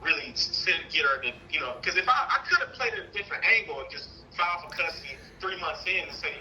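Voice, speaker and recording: A man's voice: a little high, lively intonation, fast, bright, forceful tone, a little hiss or hum, rough recording. A man with an American accent calling in, telling his personal story in a casual, rambling way. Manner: rambling, frequent "you know". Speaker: caller, father seeking custody.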